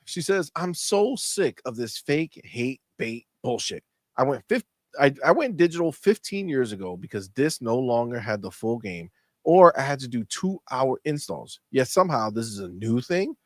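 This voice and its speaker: A stuffy-nosed man co-hosting a gaming stream, his pitch jumping all over as he jokes and swears. He sounds close to the mic in a dry room with no echo. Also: moderate pace. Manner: animated, swears freely, bursts of laughter. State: stuffy nose. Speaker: gaming podcast co-host. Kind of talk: casual live-stream chat.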